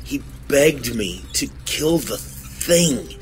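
Man acting slow, shaken, grave man. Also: medium pitch, animated, faint music behind, rough recording. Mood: haunted. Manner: halting, brooding, sighing. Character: shaken, grave man confessing to killing his friend. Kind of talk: horror audio drama acting.

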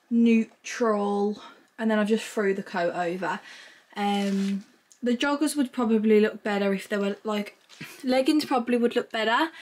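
A woman in her twenties with a British accent, chatting casually to camera in a gushing, informal vlog style at home. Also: on the high side. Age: 20s. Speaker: vlogger. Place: small room at home, indoors.

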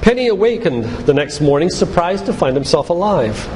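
An older man giving an earnest, deliberate sermon-like talk with stories and read-out quotations. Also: on the high side, very animated, darkish tone. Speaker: physician and lay preacher giving a church talk. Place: church hall, live talk to congregation. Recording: reverberant church hall, background noise.

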